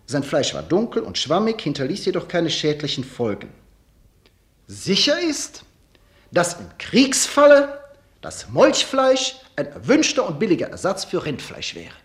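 A scientist in a radio drama. The delivery is formal and pedantic, yet the pitch swoops dramatically through each phrase of the report. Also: huge pitch swings, a little slow. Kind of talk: scripted mock-scientific report in a radio play.

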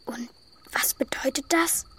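A woman's voice: a little slow, a bit bright, in a whisper, natural voice. A woman playing a curious, polite, earnest young boy prince in a children's radio play.

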